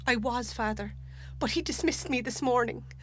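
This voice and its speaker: A woman's voice: a little high, moderate pace, a little hiss or hum, voice pushed up, lightly acted. A woman voicing a desperate, pleading young Irish mother in a dramatic story reading, tearful and helpless. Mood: distraught, desperate.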